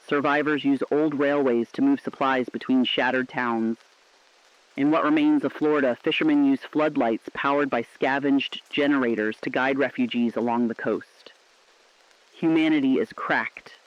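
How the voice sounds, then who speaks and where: A man's voice: mid-range voice, dull audio, natural voice. A man playing a weary but hopeful broadcaster in an underground relay, speaking in a solemn, poetic, reflective style. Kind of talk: scripted apocalyptic radio broadcast narration.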